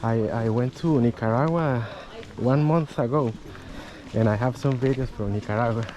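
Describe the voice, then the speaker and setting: A man's voice: medium pitch, moderate pace, dull audio. A man from Costa Rica chatting casually, giving short replies and laughing easily. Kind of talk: casual chat. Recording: crowd noise.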